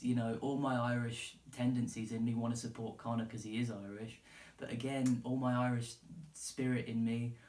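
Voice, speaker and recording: A man's voice: medium pitch, darkish tone. A man with an English accent talking casually and unscripted as a solo podcast host, rambling, informal and sweary. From England. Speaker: podcast host giving his opinion. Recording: roomy, echoey, distant from the mic.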